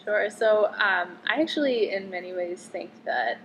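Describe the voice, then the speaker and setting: A young woman in her twenties from Connecticut answers interview questions in an easy, chatty way, and she leans on "um" and "like" as she goes. Her voice sits at a middle pitch. Clear background noise runs under the recording. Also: measured pace, fairly bright tone, highs cut off. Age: 20s. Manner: chatty, frequent "um" and "like" fillers. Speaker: interviewee, medical student.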